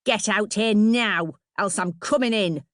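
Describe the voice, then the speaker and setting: A woman voicing a shrill, furious working-class mother in an audiobook, confrontational, bellowing and sarcastic. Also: moderate pace, no echo, clean studio sound, close to an everyday voice.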